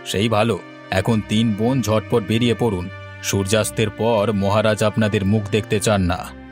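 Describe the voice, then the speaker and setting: A man's voice: lowish voice, little pitch movement, moderate pace, over music, close to an everyday voice. A man voicing a stern, commanding palace figure in a fairy-tale cartoon, severe and formal. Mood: stern, cold.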